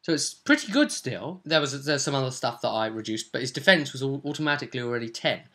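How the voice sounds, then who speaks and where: Bright-voiced British man recapping a game. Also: mid-range voice, fast. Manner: animated storyteller, acting out players' lines. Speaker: game master and host of a tabletop role-play vidcast. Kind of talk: casual recounting of a tabletop game session.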